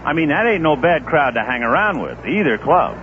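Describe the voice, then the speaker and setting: A man's voice: sing-song, a little fast. Folksy man calling baseball on old radio. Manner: easygoing, folksy asides between pitches. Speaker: play-by-play baseball announcer. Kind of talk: radio play-by-play commentary. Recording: tinny old AM radio broadcast with faint hiss.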